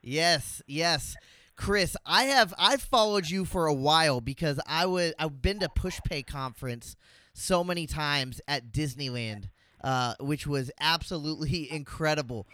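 A man's voice: on the high side, unhurried, sharp tone, dry recording. A man hosting a podcast, upbeat and gushing with praise. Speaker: podcast host.

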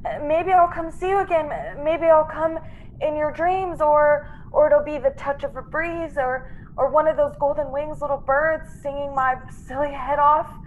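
Casting: actress as doomed young heroine, high voice, tender, halting, earnest radio melodrama, slight noise. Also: female voice, phone-quality sound, natural voice. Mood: sorrowful farewell. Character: doomed young woman, tender, tearful, brave stowaway. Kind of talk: classic radio drama acting.

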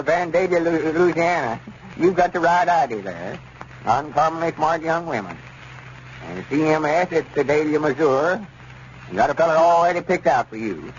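Muffled, noisy old radio comedy: male actor as officious rural Southern storekeeper, highish voice, folksy and halting. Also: natural voice. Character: folksy, self-important small-town Arkansas storekeeper running a bureau. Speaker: comedy radio show lead. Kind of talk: 1930s radio comedy acting.

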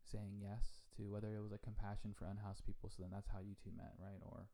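A man hosting a podcast interview, asking curious, animated questions with many "like"s. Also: lowish voice, fast talker. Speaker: podcast host.

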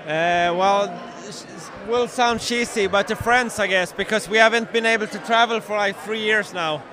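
High-voiced Swedish man in busy bar. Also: a crowd in the background. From Sweden. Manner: chatty, halting restarts. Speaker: guest, toy collector. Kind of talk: casual chat. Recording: crowded bar background.

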